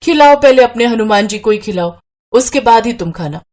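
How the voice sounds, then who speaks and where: A woman's voice: on the high side, lively intonation, a little fast, lightly acted. A woman voicing a stubborn, exasperated wife who scolds and complains in a moral story. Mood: irritated. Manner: sharp complaining. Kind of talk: Hindi moral story acting.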